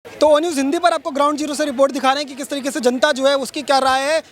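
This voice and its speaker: Guy reporter, really high voice, talks fast, on the street news style. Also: steady tone, fairly bright tone.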